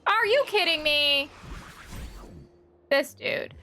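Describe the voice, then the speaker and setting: A woman's voice: high-pitched, animated, slow pace, slight background noise. A woman streamer giving casual, sympathetic, cooing reactions to a game. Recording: soft game music behind.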